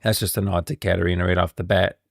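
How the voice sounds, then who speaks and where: A man's voice: deep voice, steady tone, fast, creaky, no room sound. A man giving casual commentary on video game lore as a streamer, recorded close and dry.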